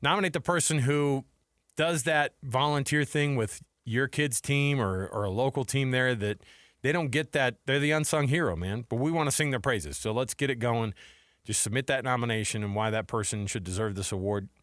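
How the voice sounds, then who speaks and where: A man's voice: mid-range voice, normal speed, fairly bright tone, dry recording. Casual male sports radio host, dry studio sound. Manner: informal, conversational, addresses listeners and co-host as man and dude.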